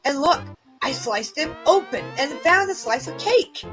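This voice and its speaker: Woman acting a blundering cartoon nurse, cheerful, high-pitched, a little slow, light music behind. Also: lively intonation, a bit bright. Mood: alert. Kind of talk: fan dub of a goofy graphic novel.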